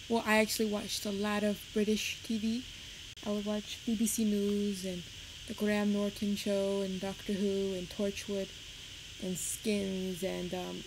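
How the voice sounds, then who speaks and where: A young woman with a Puerto Rican accent chatting on a livestream, talking fast and rambling nervously. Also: mid-range voice. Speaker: live streamer. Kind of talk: rambling casual chat on a livestream. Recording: noisy home stream audio.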